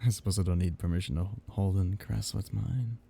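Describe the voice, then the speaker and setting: A man playing a tender, possessive vampire count in an intimate ASMR roleplay, speaking in soft, teasing murmurs with a husky, gravelly voice. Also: low voice, steady tone, brisk, heavily acted. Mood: affectionate.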